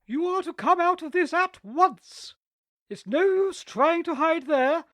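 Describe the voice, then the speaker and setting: A man voicing a shrill, bossy aunt who screams and scolds. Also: expressive, measured pace, a bit bright, dry recording, full character voice. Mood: scolding. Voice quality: shrill, piercing.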